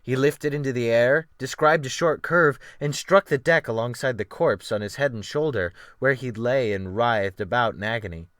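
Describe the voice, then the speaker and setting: A man's voice: mid-range voice, normal speed, few pauses, a bit bright, no room sound, clean studio sound. A man narrating a novel as a dramatic, scripted audiobook reading.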